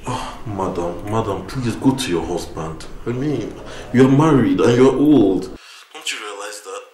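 An actor playing a callous young lover, dismissive and exasperated, in a film melodrama. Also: male voice, on the low side, expressive, unhurried. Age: young adult. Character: callous young kept lover, brushing off older woman. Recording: noisy, echoey location sound.

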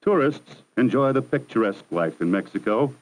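A man narrating a 1960s educational film in a measured, formal, instructive manner. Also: medium pitch, lively intonation, low-quality recording. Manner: measured, formal, didactic delivery. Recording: 1960s archival film soundtrack.

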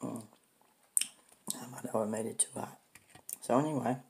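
A middle-aged woman with a hoarse voice from a cold, chatting to her viewers while eating breakfast in her home kitchen. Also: very deep voice, fairly even intonation, unhurried, warm tone. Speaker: food vlogger. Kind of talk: casual eating vlog chat.

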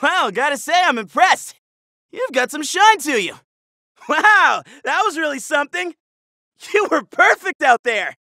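A very high, bright, shouting voice that is lively and a little gravelly. It belongs to a flashy young android superhero in a game, bragging and hurling battle cries at a measured pace. The sound is dry and close. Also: male voice, no room sound, full character voice. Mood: boastful. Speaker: voice actor. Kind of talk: video game voice clips.

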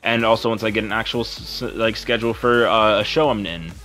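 A young man with a deep voice talking over gameplay in a rambling, earnest, apologetic way as a content creator. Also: brisk, bright, forceful tone, soft background music. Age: young adult. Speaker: YouTuber and streamer.

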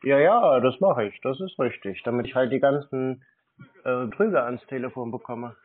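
A man's voice: medium pitch, moderate pace, husky. A man with a mid-range voice chats casually over a tinny phone-like connection. He sounds laid-back and conversational, agreeing along as he goes. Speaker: scam-baiting YouTuber taking calls.

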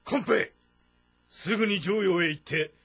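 A commanding, resolute warrior general in a historical game drama. The voice is gravelly and sits a bit high, moving widely in pitch as it gives forceful military orders. Music plays behind it. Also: male voice, a little slow, exaggerated voice. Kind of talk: Japanese historical drama voice acting.

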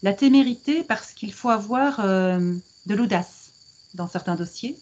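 Middle-aged female lawyer as interview guest, slightly rough-edged husky voice, measured teaching delivery, muffled video-call sound. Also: medium pitch, a little mellow. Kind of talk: explanatory interview answer on a video conference. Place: book-lined office, video call. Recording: dull video-call audio.

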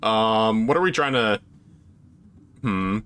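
A man's voice: fairly low pitch, lively intonation, slow pace, fairly bright tone, clean tone. A man giving casual, foul-mouthed gameplay commentary among friends. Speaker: gaming video host.

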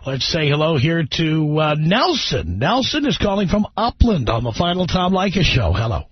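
A brash male radio host with a lively, up-and-down delivery and a husky, rough-edged voice. He banters quickly with callers. The sound is muffled, like an old radio broadcast. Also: fairly high pitch, no room sound. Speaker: radio talk show host. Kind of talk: call-in radio talk show.

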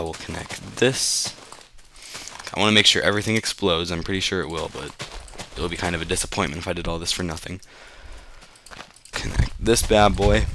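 A young man with a deep, low voice that sounds a bit sick and off today. He rambles casually over his gameplay as a channel host, correcting himself as he goes. The talk is easygoing and unscripted. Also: fairly bright tone, a little hiss or hum.

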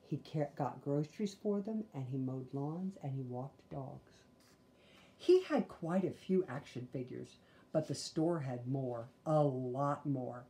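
A woman reads a children's storybook aloud, deliberate and expressive, with chatty asides. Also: very deep voice. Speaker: storybook reader. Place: small living room, indoors.

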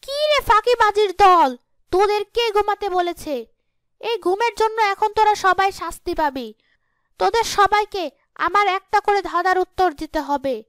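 A woman's voice: very high voice, animated, unhurried, a bit bright, dry recording, voice pushed up, heavily acted. A woman voicing a bossy, threatening female ghost in a cartoon fairy tale, scolding and giving orders. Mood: menacing, scolding. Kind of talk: Bengali cartoon fairy-tale character acting.

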